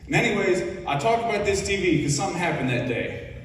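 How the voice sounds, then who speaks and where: A man in his twenties from Ohio, with an Ohio accent, speaking to a school assembly in a relaxed, conversational way and often checking in with his listeners. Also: high voice, fast talker, recorded from a distance. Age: 20s. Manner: folksy and conversational, keeps checking in with the audience. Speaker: Navy petty officer, guest speaker. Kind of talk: informal speech at a school assembly. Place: large echoing school hall. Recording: echoey hall recorded from afar.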